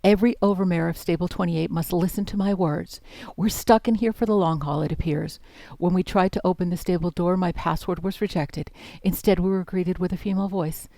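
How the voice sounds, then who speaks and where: A grave female pony overmare voiced by an actress with a lowish, mellow voice. She speaks formally and solemnly, as a leader dictating a log in an audio drama, in a dry, close recording. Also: fairly even intonation, fairly quick, creaky, hardly any pauses, no room sound. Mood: solemn, resolute.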